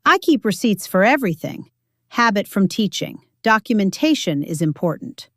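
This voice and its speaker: A woman voicing an unflappable older mother and teacher, deadpan, precise and matter-of-fact, in an audio story. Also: mid-range voice, moderate pace, dry recording, clean studio sound.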